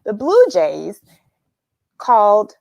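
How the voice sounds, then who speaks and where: A woman's voice: mid-range voice, huge pitch swings, very slow, vocal fry, darkish tone, dry recording. A woman hosting a children's read-along, chatting casually and expressively to young viewers and musing aloud as she addresses them. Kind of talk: casual chat to young viewers after a story reading. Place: at home.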